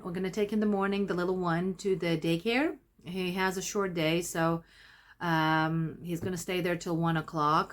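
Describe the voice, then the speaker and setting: A woman's voice: on the low side, fairly bright tone. Chatty woman vlogger. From Latvia. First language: Latvian. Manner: rambling, chatty, self-interrupting. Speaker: crochet vlogger. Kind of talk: casual chat to camera.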